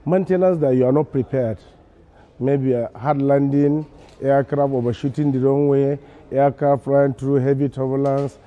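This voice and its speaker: A man's voice: medium pitch, steady tone, moderate pace. A man with a Nigerian accent explaining his work as a maintenance instructor in an unscripted interview, on a dull recording. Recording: dull, band-limited sound.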